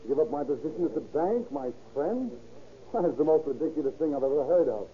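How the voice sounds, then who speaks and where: A man acts a young bank clerk of a vintage radio play, desperate and sardonic. His somewhat high voice comes through a tinny old recording with faint hiss. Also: animated, a little fast, close to an everyday voice. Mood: indignant. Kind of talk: 1930s radio acting.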